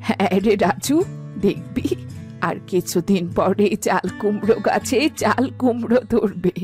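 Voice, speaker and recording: A woman voicing an elderly village grandmother, doting but sharp-tongued, in a cartoon with music behind. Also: mid-range voice, measured pace, close to an everyday voice. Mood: affectionate. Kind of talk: cartoon dialogue.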